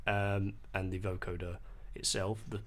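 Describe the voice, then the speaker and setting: A man explaining music software in an unscripted tutorial, hesitant and pausing mid-sentence as he goes. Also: deep voice, flat delivery, slight background noise. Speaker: music producer giving a tutorial. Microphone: voice-over on a screen recording.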